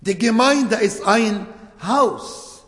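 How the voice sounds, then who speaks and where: A man whose first language is Arabic, preaching a sermon in slow, emphatic phrases with non-native grammar. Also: high-pitched, animated, a bit bright. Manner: slow, emphatic phrasing with short broken clauses. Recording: echoey hall.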